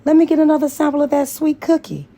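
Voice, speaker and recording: Woman acting a pleading married man, smitten and persistent, audiobook dialogue, pitch raised above the narration. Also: fairly even intonation, fast, mellow.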